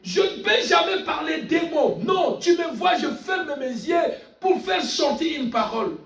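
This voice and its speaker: A male preacher with a very high-pitched voice delivers a fervent sermon with big swings in intonation. He is insistent and repeats phrases for emphasis. The sound is echoey and picked up at a distance from the mic. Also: expressive, measured pace, vocal fry, a bit bright, raised voice. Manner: calls on listeners for amens. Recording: echoey room, distant mic, faint music.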